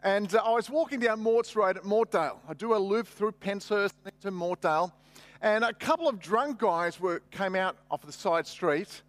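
A man's voice: high-pitched, moderate pace, fairly bright tone, no room sound. A middle-aged man preaching as a pastor, conversational and emphatic on key phrases. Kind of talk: sermon with personal anecdotes.

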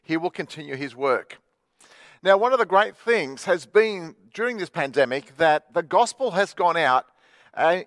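A man's voice: high-pitched, a bit bright, dry recording, clean studio sound. A man, a Baptist pastor, preaching a sermon in a chatty storytelling way full of fillers. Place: church livestream.

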